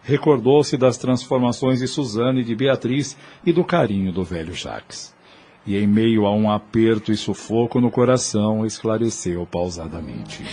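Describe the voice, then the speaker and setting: A man's voice: lowish voice, normal speed, mellow. A Brazilian man narrating an audio drama in formal, literary storytelling language.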